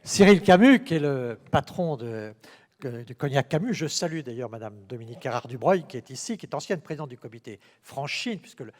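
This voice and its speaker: A man moderating a conference panel, talking haltingly and repeating words as he introduces the next speaker. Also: mid-range voice, expressive, a little mellow. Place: large conference hall. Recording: reverberant conference hall.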